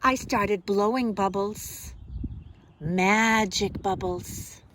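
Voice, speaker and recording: A woman kindergarten teacher speaking simply and expressively to young children, in a deliberate storytime manner. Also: mid-range voice, a bit bright, slight background noise. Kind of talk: children's storybook read-aloud and simple instruction.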